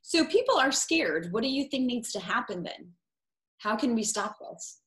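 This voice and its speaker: A woman's voice: on the high side, sing-song, normal speed, a bit bright. A woman of Portuguese background hosting a talk show, asking questions in a friendly, chatty way. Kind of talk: interview.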